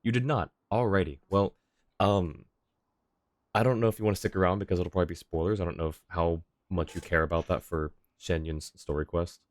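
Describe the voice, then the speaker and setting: A man with a low voice, streaming a game and chatting with viewers. He is easygoing and polite, thinks aloud, and is recorded close and dry. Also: fairly even intonation, brisk, no room sound. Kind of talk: casual livestream chat.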